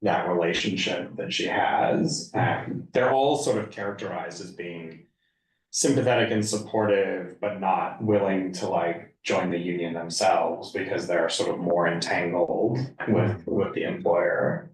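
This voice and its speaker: A man leading a casual group discussion, halting and hedging as he thinks aloud. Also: low-pitched, steady tone. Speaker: reading group discussion leader. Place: indoor room at a group meeting. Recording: echoey room, distant mic.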